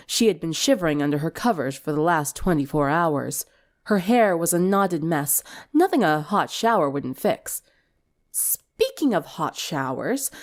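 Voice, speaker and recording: A woman reading a scripted story aloud as its narrator, in an expressive storytelling style. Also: fairly low pitch.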